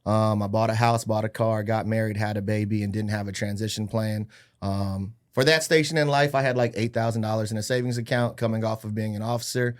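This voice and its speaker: A man's voice: fairly low pitch, fairly even intonation, a little fast. A man in his thirties from Hawaii, a podcast guest telling his life story in an upbeat, joking, self-deprecating way. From Hawaii-born, raised in California and Arizona. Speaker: podcast guest, real estate agent. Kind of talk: casual chat.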